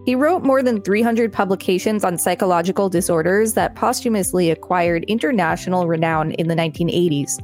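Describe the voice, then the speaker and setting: A woman co-hosting a podcast, reading an article aloud in a measured, deliberate way and breaking off for chatty asides. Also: fairly low pitch, a little mellow, faint music behind.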